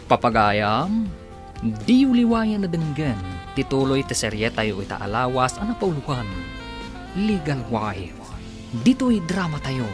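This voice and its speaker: A man narrating and announcing a radio serial in a dramatic scripted style. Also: medium pitch.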